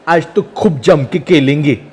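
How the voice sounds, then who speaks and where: Guy playing a cheeky prankster ringleader in a comic story, brash, highish voice. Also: unhurried, darkish tone, slightly rough. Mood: scheming. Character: mischievous, taunting male Holi prankster, gang ringleader.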